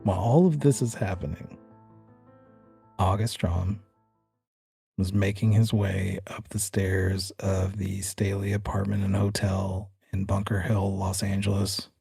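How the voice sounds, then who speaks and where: A man's voice: low voice, flat delivery, unhurried, creaky, warm tone, breathy edge, soft background music. A man hosting and narrating a horror tabletop game as game master, with a suspenseful storytelling delivery. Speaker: game master and show host.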